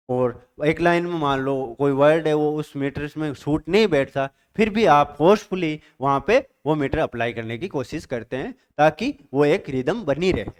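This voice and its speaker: A male teacher giving an exam-prep literature lecture, explaining step by step, reading definitions slowly and checking in with his students. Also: a little high, clean tone. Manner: reads English definitions slowly.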